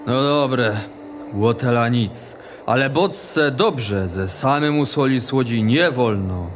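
A man playing a stern old sheepdog father in a children's audio drama, speaking firmly in a Polish highlander dialect. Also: a little hiss or hum, close to an everyday voice. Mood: relenting, cautioning. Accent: Polish highlander (góral) dialect. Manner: slow, firm, admonishing.

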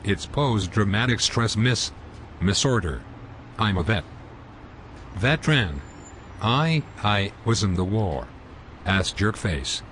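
A man voicing a rude, scruffy homeless man in an amateur animated comedy, with slow, sulky, insulting speech. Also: fairly low pitch, slight background noise, heavily acted. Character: rude scruffy homeless man who lies about everything.

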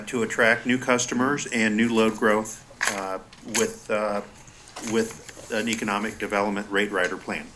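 A man on a board, formally explaining an item in a halting way with frequent hesitations. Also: mid-range voice, bright, forceful tone, slight background noise. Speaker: board member presenting an item.

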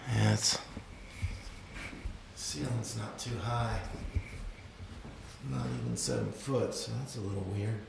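A man's voice: lowish voice, fairly even intonation, creaky, background noise. A middle-aged man with a Maine accent, casually musing as he films a tour of an unfinished cabin on his phone. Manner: musing, unhurried, trailing off. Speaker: vlogger touring a cabin. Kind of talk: casual walk-through commentary. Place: outdoors in autumn woods and inside an unfinished wooden cabin. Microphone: phone camera at arm's length.

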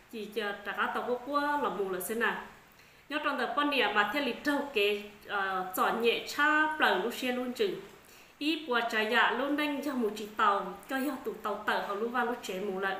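A woman in her thirties, talking to the camera as a channel host, telling a story in a calm, earnest way. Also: fairly high pitch. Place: dim wood-walled room indoors. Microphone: camera in front at desk distance. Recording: echoey room with faint background noise.

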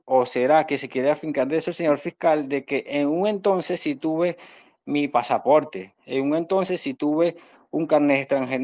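A young man with a Venezuelan accent and a slightly high voice gives spontaneous testimony on a video call. He explains himself earnestly and repeats himself as he pleads his case as a defendant. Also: a little mellow. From Venezuela, born in Caracas. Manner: earnest, repetitive explaining with apologies to the judge. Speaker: defendant speaking at a court hearing. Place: indoors against a plain wall, on a video call. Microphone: phone at arm's length on video call.

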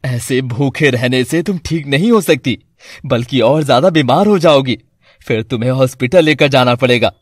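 A man voicing a caring middle-aged father in a cartoon story, gentle but firm. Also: mid-range voice, moderate pace, no room sound, clean studio sound, natural voice. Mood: concerned, coaxing. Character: caring, middle-aged father coaxing his sick daughter.

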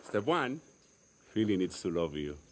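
Eccentric game rebel: cocky, teasing, animated delivery, noisy outdoor night. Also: male voice, low voice, measured pace. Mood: exuberant, cocky. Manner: cocky, teasing, repetitive patter. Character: eccentric, playful, jumpy male guerrilla tinkerer. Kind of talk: video game cutscene acting. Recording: clear outdoor night noise, crickets.

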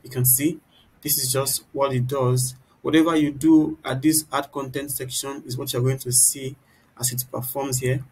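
A man giving an informal, explanatory software tutorial, often turning statements into little rhetorical questions. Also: mid-range voice, level tone, moderate pace, low-quality recording. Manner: explanatory, poses rhetorical "what?" questions mid-sentence. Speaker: tutorial presenter. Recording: clear background noise.

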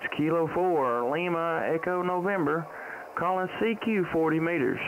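A middle-aged man hosting a casual amateur radio livestream, speaking close to a boom microphone. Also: moderate pace. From Tennessee, United States. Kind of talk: casual ham radio chat and calling. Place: small indoor home radio room. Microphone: close boom microphone with headset. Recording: dull lo-fi sound, faint radio noise.

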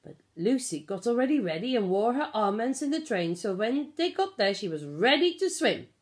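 Pre-school storyteller, female, middle-aged: higher-pitched, very varied intonation, expressive picture-book reading for small children. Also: moderate pace. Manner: expressive, explanatory, addressing small children with simple questions. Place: small living room at home. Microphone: phone or tablet at about arm's length.

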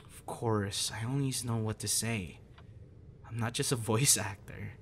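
Man playing a gentle low-voiced young boyfriend type. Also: measured pace, vocal fry. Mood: tender. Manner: soft, hesitant, reassuring, conversational. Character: gentle, encouraging young male voice actor, caring collaborator. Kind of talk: ASMR boyfriend roleplay. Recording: noticeable background noise.

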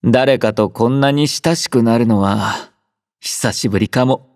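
A man's voice: medium pitch, measured pace, no echo, studio quality, natural voice. A man voicing a sleepy teasing young army doctor. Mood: easygoing teasing. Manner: relaxed, drowsy, gently teasing. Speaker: game character voice lines. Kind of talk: game voice collection. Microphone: close studio mic.

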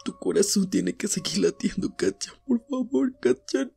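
A woman playing a desperate, grieving teenage boy hero in an anime fandub drama, pleading in halting, repeated phrases. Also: medium pitch, animated, gravelly, warm tone, slightly rough, faint music behind, full character voice. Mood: sobbing, despairing. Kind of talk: Spanish fandub audio drama, emotional acting.

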